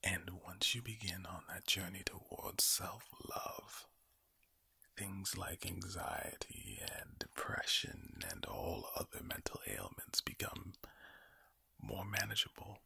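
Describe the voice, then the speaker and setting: A man with an Irish accent giving soothing ASMR affirmations in a low, breathy, gravelly whisper, slowly and deliberately, repeating his phrases. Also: bright, forceful tone, dry recording. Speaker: ASMR creator.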